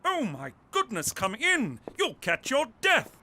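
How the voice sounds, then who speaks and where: A man's voice: very high voice, wildly swooping pitch, unhurried, bright, forceful tone, full character voice. A man voicing a fussy, prim host in a cartoon, precise and scolding. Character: fussy, prim older host laying down house rules.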